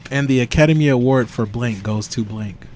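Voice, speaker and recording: A soft-spoken man on a casual podcast, reading party-game cards aloud and breaking into laughter. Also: medium pitch, a little fast, slight background noise. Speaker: podcast guest.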